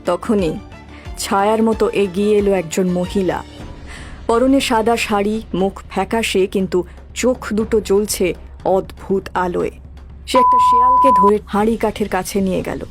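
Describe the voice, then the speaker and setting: Female narrator for a horror cartoon; medium pitch, dramatic storytelling, music bed behind. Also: sing-song, a little slow, a bit bright. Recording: background music throughout.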